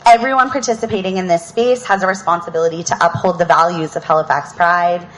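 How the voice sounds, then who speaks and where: A woman hosting an outdoor panel, reading an opening statement in a measured, earnest way, then giving casual announcements. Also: on the low side, steady tone, a bit bright, slight background noise, poor audio. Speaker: event host and panel moderator. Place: outdoor public event.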